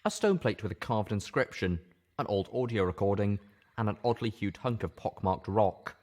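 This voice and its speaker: A man's voice: fairly low pitch, moderate pace, darkish tone. A man reading scripted first-person fiction aloud as an audiobook narrator.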